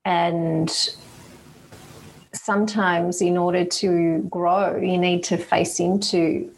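Gravelly low-voiced woman. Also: flat delivery, slow, rough recording. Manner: thoughtful, hesitant with many fillers and restarts. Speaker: guest, executive general counsel. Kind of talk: podcast interview answers.

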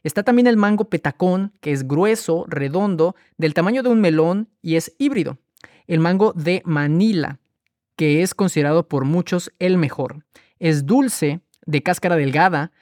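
A man hosting a health podcast, reading scripted narration in a measured, explanatory way and listing items one by one. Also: on the high side, expressive, darkish tone, dry recording, studio quality. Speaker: podcast host.

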